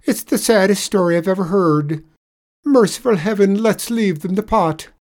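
A performer voicing a soft-hearted, gullible Irish tradesman in a storybook tale, exclaiming tearfully. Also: medium pitch, lively intonation, moderate pace, voice pushed up, natural voice. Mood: sobbing, overwhelmed.

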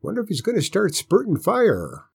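Man doing a husky folksy old grandpa. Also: sits high, huge pitch swings, normal speed, a little mellow, pitched above the performer's own voice, heavily acted. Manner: folksy rural drawl. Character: old country grandpa, stubborn and fond.

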